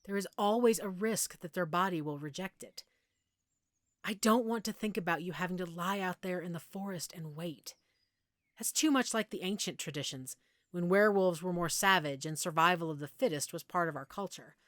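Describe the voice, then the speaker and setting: A woman's voice: lowish voice, moderate pace, no room sound, close to an everyday voice. Woman playing a patient, reassuring werewolf mentor, close and dry. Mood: reassuring, compassionate. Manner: patient, gently explanatory, reassuring. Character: friendly, reassuring female werewolf pack member, patient mentor. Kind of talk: intimate audio roleplay, speaking directly to the listener.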